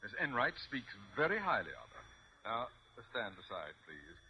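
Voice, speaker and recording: An actor playing a pompous, bossy school principal with an orotund, theatrical delivery in a radio sitcom. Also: male voice, on the low side, wildly swooping pitch, moderate pace. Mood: pompous, dismissive. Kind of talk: 1950s American radio sitcom acting. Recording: dull old radio recording, faint noise.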